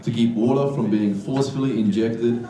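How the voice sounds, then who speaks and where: A man's voice: mid-range voice, fairly even intonation, normal speed. Male stand-up comic from Iraq, blunt and crude, heard from afar in an echoing club. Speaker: stand-up comedian. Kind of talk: stand-up comedy set. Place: comedy club stage. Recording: roomy club sound from a distance with loud crowd laughter.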